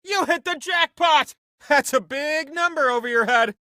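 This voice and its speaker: A man's voice: very high voice, normal speed, bright, forceful tone, no room sound, clean studio sound. A man voicing a chatty, vain living wheel that hosts a comic video game show in a theatrical, quirky way. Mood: excited. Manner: theatrical, quirky, playfully self-important. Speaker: game host.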